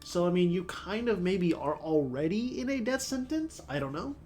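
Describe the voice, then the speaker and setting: Man, somewhat high voice, congested, sore throat, rambling game commentary, faint music behind. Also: expressive, moderate pace, a little mellow. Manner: rambling, musing aloud with many fillers. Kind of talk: casual let's-play commentary.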